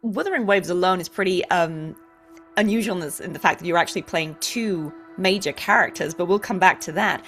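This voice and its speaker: A woman's voice: fairly low pitch, fairly quick, fairly bright tone. A woman hosting an interview show in a casual, conversational style. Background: faint music.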